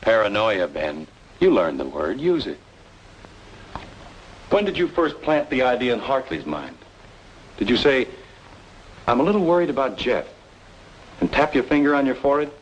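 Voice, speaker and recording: A man's voice: mid-range voice, very animated, moderate pace, long pauses, muffled recording, slight background noise, natural voice. An actor playing a wronged, obsessive younger man, speaking with insistent intensity in an old-time radio noir drama. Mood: insistent, sardonic. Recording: old radio recording, faint music.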